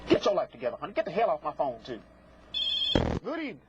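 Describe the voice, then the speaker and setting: A high-voiced man hosts a live call-in show with swooping, theatrical delivery. He is sassy and scolding, talking in folksy colloquial phrases he likes to repeat. The recording is muffled and noisy. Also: wildly swooping pitch, fast talker. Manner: folksy colloquial speech with double negatives. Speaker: host of a live phone-in psychic show. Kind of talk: live call-in TV talk with prank callers. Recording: muffled lo-fi broadcast with clear noise, clicks.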